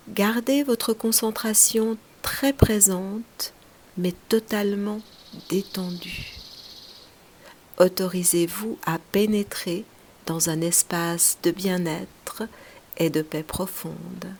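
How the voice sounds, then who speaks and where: A woman leading a guided relaxation meditation as a yoga teacher, speaking softly and soothingly in short, unhurried phrases, often breathy as they trail off. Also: medium pitch, slight background noise.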